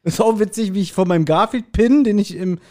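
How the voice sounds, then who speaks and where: A man in his forties talking casually and nostalgically as a podcast co-host, telling stories in an animated, self-deprecating way. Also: sits high, a little fast. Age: 40s.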